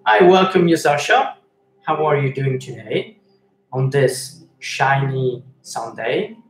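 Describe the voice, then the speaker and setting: A man from Switzerland, hosting a live-stream chat and reading viewer messages aloud, speaking in a halting way with many fillers and restarts. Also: mid-range voice, expressive. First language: Swiss German and Italian. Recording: echoey room with faint noise.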